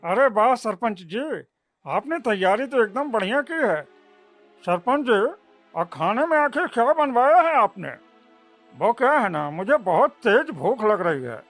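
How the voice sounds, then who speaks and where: A man's voice: extremely high pitch, sing-song, measured pace, fairly bright tone, full character voice. Guy doing a chatty hungry wedding guest, cartoon style, bit of music under it. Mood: curious, eager. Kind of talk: Hindi cartoon story acting.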